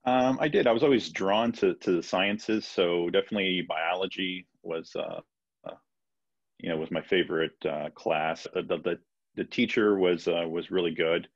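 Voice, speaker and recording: A man's voice: deep voice, moderate pace, no room sound. A man in his thirties from Peru, raised in Florida, a physician answering interview questions on a video call in a hesitant way, with many fillers and restarts. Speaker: physician guest. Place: home room, video call. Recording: dull lo-fi video call audio.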